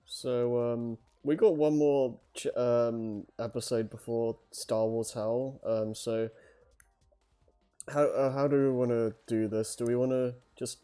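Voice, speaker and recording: A man chatting casually as a livestream host, speaking in a hesitant, halting way. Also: medium pitch, fairly even intonation, warm tone, smooth. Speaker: host of a story-reading livestream. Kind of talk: casual chat.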